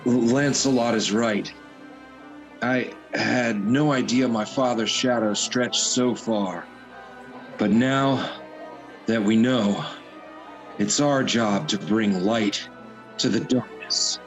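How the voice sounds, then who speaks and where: An actor playing a noble, burdened young king with a solemn, deliberate manner in a fantasy radio play. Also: mid-range voice, faint music behind, low-quality recording. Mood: solemn resolve.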